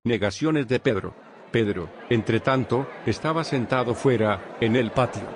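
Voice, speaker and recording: A man narrating a dramatized scripture reading in a steady, formal storytelling manner. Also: mid-range voice, unhurried, slight background noise.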